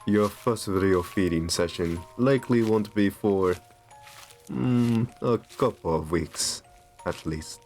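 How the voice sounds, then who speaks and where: Male actor as doting aristocratic vampire, ASMR roleplay. Low-pitched, unhurried, soothing and genteel, over music. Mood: tender, reassuring. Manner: gentle, reassuring, genteel endearments. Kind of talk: ASMR roleplay, scripted intimate monologue.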